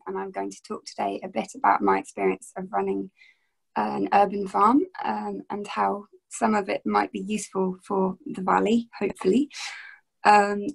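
A woman's voice: mid-range voice, creaky, low-quality recording. A woman in her forties from Wales, an urban farmer, introducing herself matter-of-factly on a video call. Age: 40s.

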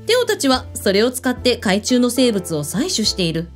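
A woman's voice: a little high, big pitch swings, moderate pace, faint music behind. An actress in a radio drama playing a breezy, blunt female hotel owner who speaks with authority. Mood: explanatory, confident.

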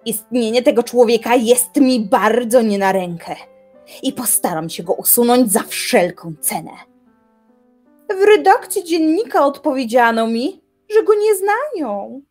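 An actress playing an obsessive, indignant young reclusive philosopher in a radio drama, brooding aloud with dramatic deliberation. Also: female voice, on the high side, big pitch swings, a little slow, darkish tone. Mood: cold, determined. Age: young adult. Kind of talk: theatrical radio drama monologue. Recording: music behind the voice.

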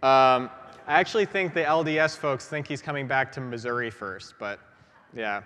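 A man in his thirties preaches in a large church, his voice a little congested from a lingering cold. He talks in a relaxed, conversational way, full of ums and restarts, into a pulpit microphone. The sound trails off into a long reverb from the stone walls. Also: medium pitch, normal speed. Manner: conversational, many fillers and restarts. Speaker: priest. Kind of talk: sermon. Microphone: gooseneck pulpit mic.

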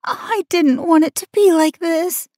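A woman's voice: fairly even intonation, slow, dry recording, clean studio sound. A whimpering, tearful young woman, voiced by a female narrator. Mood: tearful pleading. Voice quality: high, whimpering. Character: tearful pleading young woman, meek and apologetic.

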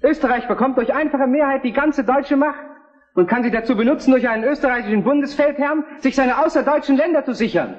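An indignant German prince protests in a radio play. His voice is extremely high for a man. The recording is slightly dull. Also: quick pace. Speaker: actor. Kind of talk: historical radio play acting.

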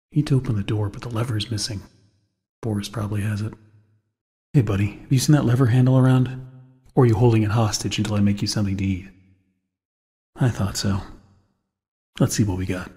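A man's voice: fairly low pitch, steady tone, a little fast, pauses often, dark tone, natural voice. An actor playing a weary, wary American man exploring a haunted cartoon studio in a video game, muttering his scripted lines in an understated way. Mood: calm, musing. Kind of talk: scripted game character dialogue. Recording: echoey game audio with long reverb.

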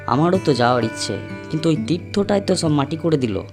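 A man's voice: on the high side, lively intonation, quick pace, a little mellow, faint music behind. Man acting a chatty, teasing young friend. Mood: complaining.

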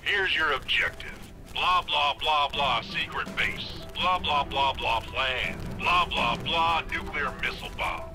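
An actor playing a military commander who mumbles through a mission briefing in a clipped, dismissive way. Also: male voice, fairly high pitch, slow pace, over music. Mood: bored briefing.

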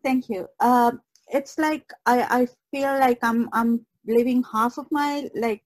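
A woman guest in a reflective podcast conversation on a video call, speaking haltingly and searching for words. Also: on the high side, steady tone, low-quality recording. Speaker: guest artist.

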